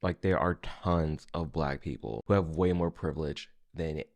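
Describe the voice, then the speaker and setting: A man's voice: extremely low pitch, moderate pace. A man hosting a reaction video, commenting casually in colloquial words and chiming in to agree. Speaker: video host reacting to a clip.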